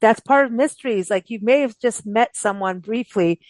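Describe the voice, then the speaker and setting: A woman's voice: a little high, normal speed, no room sound. A woman hosting a books show, talking in a casual, rambling, informal way as she interviews guests. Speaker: host of a books radio show.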